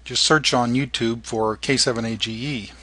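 A middle-aged or older man narrating an informal tutorial in halting, short phrases. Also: mid-range voice, fairly even intonation, bright, forceful tone. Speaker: amateur radio operator and narrator.

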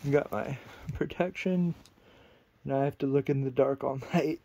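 A man's voice: mid-range voice, steady tone, moderate pace, dark tone. A young man vlogging alone from a cold abandoned cabin at night, nervous and self-deprecating, filming on a handheld camera. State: cold and sleepy. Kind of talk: casual vlog to camera.

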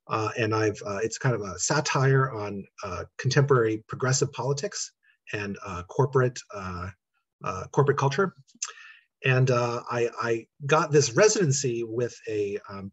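A middle-aged American man with a slightly husky voice, answering interview questions in a thoughtful, halting, conversational way. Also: mid-range voice, muffled recording. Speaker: playwright being interviewed. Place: living room at home, indoors. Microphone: computer mic on a video call.

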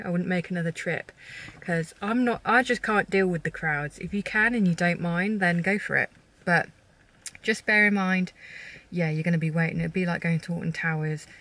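A woman's voice: lowish voice, fairly bright tone. A woman in her thirties talks straight to a handheld camera from the driver's seat of a parked car. Her delivery is chatty and candid, drifting into rambling asides as she gives her verdict to viewers. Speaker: shopping vlogger. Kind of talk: casual vlog talk to camera. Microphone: camera held at arm's length.

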